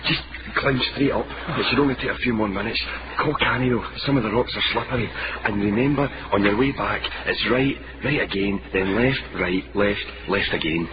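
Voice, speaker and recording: A man's voice: fairly low pitch, normal speed, heavy background noise, natural voice. A man playing a plain-spoken guide through underground tunnels in a radio drama, matter-of-fact in manner. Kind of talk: radio detective drama acting. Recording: muffled radio drama, loud cave ambience.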